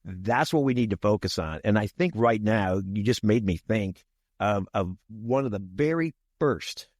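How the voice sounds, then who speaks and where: Deep-voiced man in his sixties. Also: expressive, a little slow, darkish tone, no echo, studio quality. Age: 60s. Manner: folksy, repeats himself, chuckles. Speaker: podcast co-host. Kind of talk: casual podcast discussion.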